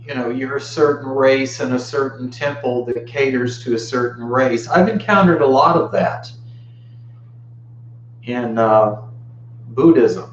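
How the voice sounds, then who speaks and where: An American man of fifty-nine giving an informal dharma talk in a reflective, halting way with long pauses. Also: medium pitch. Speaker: Buddhist teacher giving a talk. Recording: muffled, roomy, faint background hum.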